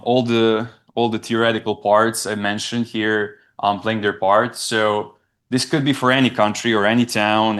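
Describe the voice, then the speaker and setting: A young man from Serbia, giving a conference presentation in a halting way, often restarting and repeating words. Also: lowish voice, a bit bright. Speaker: research presenter.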